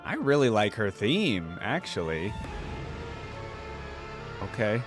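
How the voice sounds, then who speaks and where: Low-voiced man reacting casually, music behind. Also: big pitch swings, slow. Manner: enthusiastic, conversational, thinking aloud. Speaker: reaction video creator. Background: background music.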